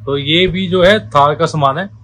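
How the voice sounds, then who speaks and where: A man selling car accessories in his shop, talking the viewer through each fitted part with a pushy salesman's pitch and calling them brother. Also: normal speed, background noise. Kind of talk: sales pitch walkthrough video.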